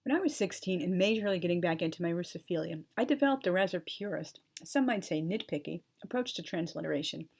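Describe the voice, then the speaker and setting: A woman's voice: on the low side, lively intonation, fast, creaky, hardly any pauses, darkish tone, breathy edge. A young adult woman explaining a topic at length in an earnest, precise way, spelling words out letter by letter, with chatty asides and fillers. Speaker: channel host explaining language. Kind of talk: talking-head explainer, partly read from notes.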